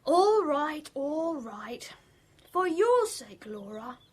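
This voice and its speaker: Radio drama role: childish, petulant young man with menace, very high voice, lively swoops. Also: drawn-out words, full character voice. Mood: sulky. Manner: petulant, excitable.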